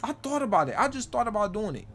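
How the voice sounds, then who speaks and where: A man's voice: high-pitched, big pitch swings, fast talker. An American man streaming a roleplay game, giving insistent, urgent orders and repeating himself in slangy in-character chatter. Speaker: game streamer playing a roleplay crew leader.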